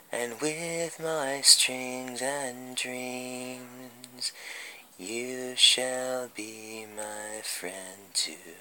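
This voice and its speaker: Guy doing a gloomy ghostly puppeteer, creepypasta style, really slow and theatrical, very sharp edgy voice. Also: mid-range voice, slight background noise, pitched above the performer's own voice, full character voice. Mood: eerie, wistful. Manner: slow, brooding, theatrical delivery. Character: creepy undead puppeteer, melancholy tormented young man's ghost. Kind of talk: dramatic horror story reading. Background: music.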